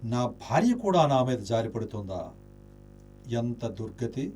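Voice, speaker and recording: An actor playing an honourable, impoverished, melancholy gentleman in an Indian radio play, speaking in a declamatory, dramatic way. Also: medium pitch, big pitch swings, unhurried. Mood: despairing. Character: Charudatta, noble impoverished gentleman, honourable, self-pitying, melancholy.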